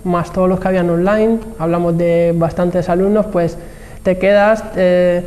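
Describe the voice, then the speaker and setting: A man's voice: high voice, fairly even intonation, moderate pace, a little mellow. A man with a Spain Spanish accent talking as an expert guest in an interview, hesitant and often rephrasing as he goes. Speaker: guest expert, marketing professional and trainer. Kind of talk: conversational interview talk. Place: TV programme set, indoors. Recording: reverberant hall-like room, faint background noise.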